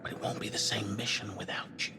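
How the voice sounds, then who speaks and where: An actor playing a protective spaceship commander in a sci-fi game, speaking in a firm, earnest, measured way. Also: male voice, fairly low pitch, little pitch movement, gravelly, in a whisper. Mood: hushed, earnest. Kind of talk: cinematic game drama acting. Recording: loud music behind the voice.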